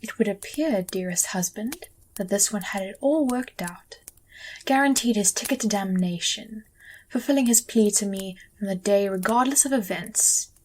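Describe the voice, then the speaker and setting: An actress playing a haughty, wry otherworldly wife who judges souls, arch and formal in an audio drama. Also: female voice, medium pitch, normal speed, darkish tone, slight background noise, lightly acted. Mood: wry disdain.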